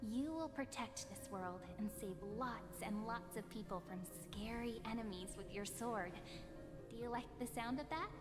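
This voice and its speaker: A woman's voice: fairly high pitch, moderate pace, in a large hall, music in the background, close to an everyday voice. An actress voicing a playful young girl who tells fortunes in a game, light, casual and teasing. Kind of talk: scripted game voice acting.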